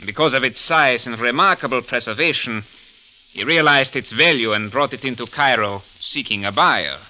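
An actor playing a stocky, patient, scheming doctor, formal and precise, in 1940s radio drama. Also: male voice, medium pitch, moderate pace, bright, forceful tone. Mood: smooth, insistent. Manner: formal, precise, smoothly insistent. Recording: dull old radio recording.

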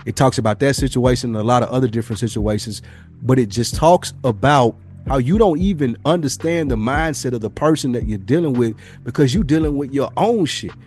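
A man's voice: mid-range voice, a little fast, a little mellow. A man in a casual podcast discussion, swearing freely and acting out imagined exchanges as he tells his point. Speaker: podcast speaker.

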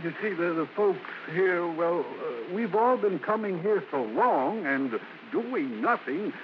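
A man's voice: fairly high pitch, animated, slow. An American actor playing a polite, hesitant, earnest man in a 1950s radio drama. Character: polite, hesitant, earnest male resort guest. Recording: dull, noisy old radio recording.

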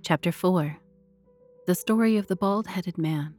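A woman narrating a literary tale as a storyteller, measured, deliberate and expressive. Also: lowish voice, soft background music. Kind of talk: dramatic scripted literary narration.

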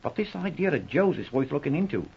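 Husky, flustered, humble policeman. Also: fairly high pitch, very animated, fast talker, heavily acted. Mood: earnest, deferential. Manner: hesitant, folksy, ungrammatical speech. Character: flustered, humble police subordinate, worried father. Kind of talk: old-time radio drama acting. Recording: muffled old 1940s radio recording.

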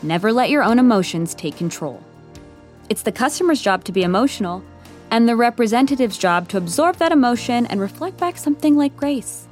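A woman reading scripted comedy-drama narration in a measured, wry storytelling manner. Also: on the high side, animated, a little mellow, faint music behind.

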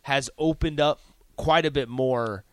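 Male sports radio co-host, mid-pitched, halting analysis with repeated words, close dry sound. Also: a bit bright, no room sound. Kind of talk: sports talk discussion.

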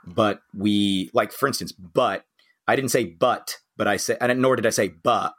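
A man's voice: on the low side, measured pace, a bit bright. An American man co-hosting a podcast, chatting casually and laughing often as he talks. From United States. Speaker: podcast co-host. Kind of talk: casual chat.

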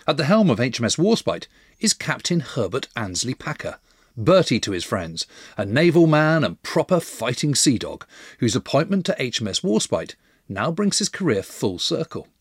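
Husky, animated male documentary narrator. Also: mid-range voice, moderate pace, no room sound, studio quality. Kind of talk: scripted history documentary narration.

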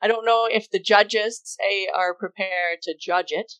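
A woman's voice: mid-range voice, fairly even intonation, measured pace, a bit bright, low-quality recording. A woman co-hosting a podcast, chatting in an easygoing way, laughing often and restarting her sentences as she thinks aloud. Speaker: podcast co-host. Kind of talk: casual podcast chat.

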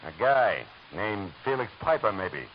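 An actor playing a dry, wisecracking private detective who also narrates, in a 1940s radio drama. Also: male voice, fairly low pitch, big pitch swings, a little slow, muffled recording. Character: hard-boiled male private eye, dry and wisecracking. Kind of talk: 1940s radio acting with first-person narration. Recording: hissy old radio broadcast recording.

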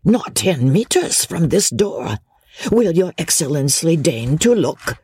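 A man voicing a cunning, greedy old male peddler in an audiobook, humble and deferential in his deliberate speech. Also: on the high side, very animated, a little mellow, husky, dry recording, studio quality, voice pushed up, heavily acted. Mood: servile, sly. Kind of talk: audiobook character voice.

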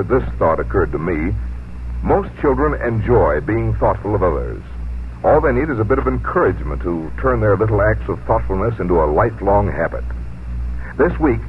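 A man reading scripted 1950s radio advertising as an announcer, in a measured, avuncular way. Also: fairly low pitch. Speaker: announcer and commercial spokesman. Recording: narrow vintage radio recording, faint noise.

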